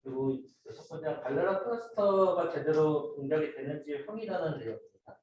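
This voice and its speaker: A man giving an informal, somewhat halting student project presentation, explaining slides and a demo step by step. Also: fairly high pitch, lively intonation, darkish tone, dull audio. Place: indoor room, voice picked up from a distance. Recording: very echoey room, distant mic, noisy.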